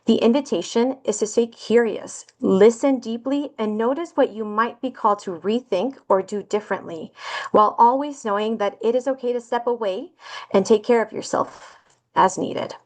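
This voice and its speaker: A woman's voice: on the high side, moderate pace, darkish tone. Woman reading a welcome over a video call. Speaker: webinar host introducing speakers. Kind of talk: scripted welcome remarks.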